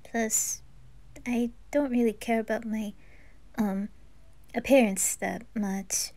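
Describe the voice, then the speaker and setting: A woman puts on a downcast teenage boy from an anime roleplay. He talks slowly and quietly, with a shy, hesitant, subdued manner. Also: on the high side, steady tone. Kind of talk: amateur anime audio roleplay.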